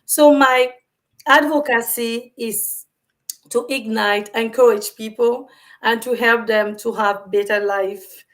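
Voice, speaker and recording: A woman from Cameroon, a guest on a video call, talking about her work in an earnest, warm way with some hesitant fillers. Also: fairly high pitch, fairly even intonation, takes it slowly. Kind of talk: interview answers.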